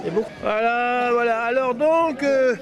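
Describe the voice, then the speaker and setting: A man with a very high voice talks casually while he films, sounding puzzled and fussy about his camera. Crowd noise fills the open air around him. It's a home-video recording. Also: a little slow. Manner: puzzled, fussing over the camera. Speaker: person filming. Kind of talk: casual chat. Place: outdoor gathering. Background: crowd chatter.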